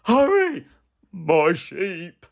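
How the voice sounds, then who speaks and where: A woman's voice: lowish voice, wildly swooping pitch, extremely slow, creaky, muffled recording, voice pushed up, exaggerated voice. A woman voicing a mischievous young shepherd boy in an exclamatory, dramatic storybook manner. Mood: urgent alarm.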